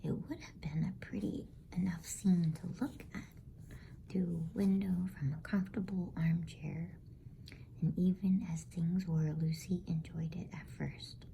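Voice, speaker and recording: A woman reading a bedtime story in a hushed, breathy ASMR whisper. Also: lowish voice, steady tone, measured pace, rough recording. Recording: faint background noise.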